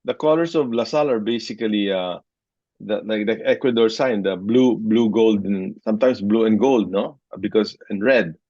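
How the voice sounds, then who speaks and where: Low-voiced man in his sixties. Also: moderate pace, mellow, no room sound, highs cut off. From Philippines. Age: 60s. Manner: hesitant, with frequent restarts and short laughs. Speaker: interview guest. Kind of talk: conversational interview.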